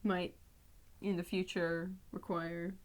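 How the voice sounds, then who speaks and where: Woman from canada in her 20s, lowish voice, chatty storytelling. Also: little pitch movement, slow. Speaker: music graduate student. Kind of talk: personal testimony in a documentary interview.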